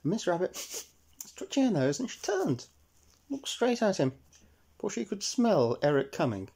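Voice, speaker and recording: A man's voice: high-pitched, very animated, normal speed, gravelly, a little mellow, slightly rough. A man narrating a children's story as a storyteller.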